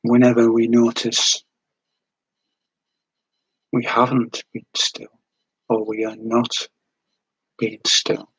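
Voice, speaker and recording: Husky, gentle male meditation guide. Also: medium pitch, completely flat delivery, no room sound, low-quality recording. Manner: unhurried, soothing, with long pauses between short phrases.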